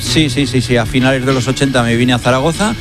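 A man in his sixties from Spain, a veteran sports radio reporter looking back on his career in an unhurried, hesitant way. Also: mid-range voice, over music. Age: 60s. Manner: reminiscing, unhurried, frequent hesitations. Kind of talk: radio interview.

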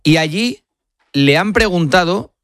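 A man's voice: high voice, lively intonation, unhurried, a bit bright, no room sound. A man hosting a late-night sports radio show, talking fluently with frequent "eh" fillers. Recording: dry studio sound with faint music.

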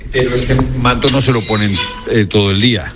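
A man's voice: mid-range voice, a little fast, music in the background. A man reporting live for radio from inside a convent parlor, talking with plenty of fillers and restarts. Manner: hesitant, many fillers and restarts. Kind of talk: on-location radio interview.

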